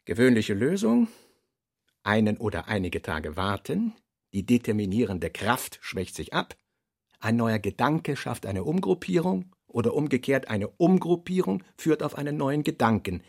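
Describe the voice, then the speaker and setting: An actor playing a brooding middle-aged novelist in a literary radio play, deliberate and terse, with a husky voice. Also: male voice, mid-range voice, big pitch swings, darkish tone, no room sound, studio quality, heavily acted. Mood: brooding, clipped. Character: brooding middle-aged male novelist reading out his working notes.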